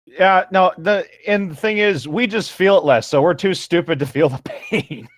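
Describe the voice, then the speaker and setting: A man's voice: sits high, normal speed, no echo, studio quality. A man casually joking and reading bits of a story aloud with sarcasm. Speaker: co-host reading and riffing on a story.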